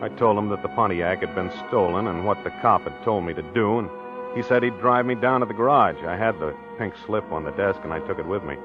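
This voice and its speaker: An American actor playing a mild, befuddled middle-aged husband in a 1940s radio mystery, hesitant and deliberate. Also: male voice, fairly low pitch, lightly acted. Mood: reflective, subdued. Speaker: narrator and lead character. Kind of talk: 1940s radio acting, first-person narration. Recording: muffled old radio recording with music.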